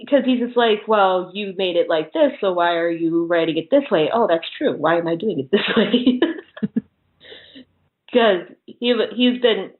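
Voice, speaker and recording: A woman's voice: mid-range voice, animated, a little slow. A woman chatting casually as an interview guest, telling personal anecdotes and often giggling as she talks. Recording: muffled phone-like call audio.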